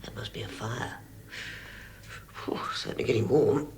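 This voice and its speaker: An actor playing a fussy, nervous but plucky middle-aged hobbit in a vintage radio drama, polite and easily flustered. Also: male voice, on the low side, moderate pace, a little mellow, in a whisper, natural voice. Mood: anxious muttering. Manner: flustered, indignant, polite, hesitant. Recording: faintly noisy old radio recording.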